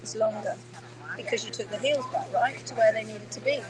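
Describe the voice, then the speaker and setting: Woman host, higher voice, emphatic teacher, phone-recorded workshop stream. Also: brisk, crowd noise. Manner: emphatic, reads viewer comments aloud. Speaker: hoof-trimming workshop host. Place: indoor workshop room.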